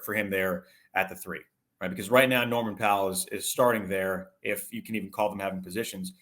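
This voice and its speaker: A man with a fairly low voice speaks as a sports radio broadcaster in an interview. He explains things in detail and conversationally, often building a point in short, broken phrases. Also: a bit bright.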